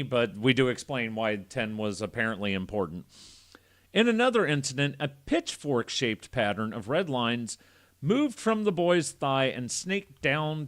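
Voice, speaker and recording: Animated, irreverent man reading aloud. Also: medium pitch. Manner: irreverent, foul-mouthed, joking. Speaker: podcast host. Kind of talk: casual reading of a story with joking asides.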